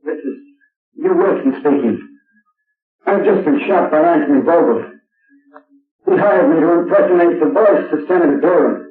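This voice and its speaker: A man playing a dying impersonator whose confession plays from a record in a 1930s radio drama, speaking in a halting way. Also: on the high side, lightly acted. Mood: confessing. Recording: roomy, distant, tinny old radio recording.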